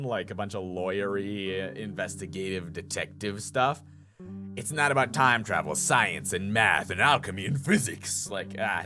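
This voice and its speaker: A man chats casually as a YouTuber doing a game playthrough. His pitch moves around a lot as he jokes at his own expense, with music in the background. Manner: joking, self-mocking. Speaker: YouTuber, voice actor playing a game.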